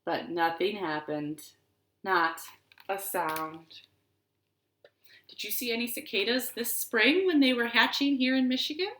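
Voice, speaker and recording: A woman reading stories and rhymes aloud to young children in an expressive, deliberate way, recorded in a living room. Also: on the high side. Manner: expressive, deliberate, asks the listeners questions. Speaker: children's librarian storyteller. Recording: roomy, echoing living-room sound.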